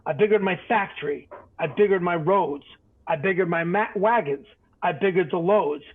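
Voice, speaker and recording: A man's voice: sits high, normal speed, husky. A man playing a greedy, self-justifying tycoon in a rhyming storybook, boastful, defiant and emphatic. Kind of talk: theatrical rhyming storybook character. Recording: tinny, phone-like narrow band.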